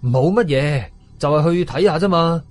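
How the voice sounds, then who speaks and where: A man voicing a calm, blunt young ghost hunter in a horror story, casual, with a Hong Kong Cantonese accent. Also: a little high, normal speed, soft background music, close to an everyday voice.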